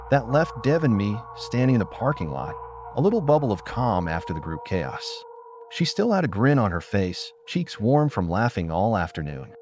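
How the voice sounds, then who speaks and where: A man narrating a scripted first-person story as an audiobook. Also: fairly low pitch. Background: music.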